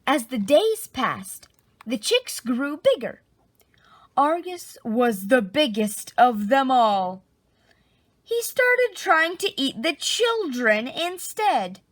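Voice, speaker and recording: Lady reading a kids story, high voice, super slow n dramatic, really dry close sound. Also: no room sound. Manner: animated, drawn-out dramatic emphasis. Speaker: storybook reader. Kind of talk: expressive children's picture-book read-aloud.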